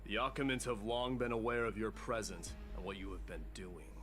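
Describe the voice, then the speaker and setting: An actor playing a proud young warrior heir who speaks coldly and formally, in a video game cutscene. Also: male voice, fairly low pitch, brisk, close to an everyday voice. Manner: cold, confrontational and formal. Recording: game audio with music behind.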